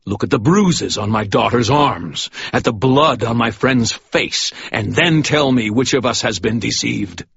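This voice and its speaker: A man voicing a stern middle-aged holy warrior who preaches loudly and righteously. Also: fairly high pitch, animated, takes it slowly, creaky, slightly rough, no echo, muffled recording, pitched above the performer's own voice, full character voice. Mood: righteous fury.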